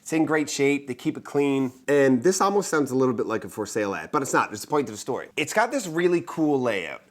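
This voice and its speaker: A man's voice: medium pitch, big pitch swings, quick pace. A man telling stories casually as a show host, joking and breaking off his own sentences in a conversational way. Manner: joking, self-interrupting, conversational. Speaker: real estate agent and show host. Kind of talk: casual storytelling.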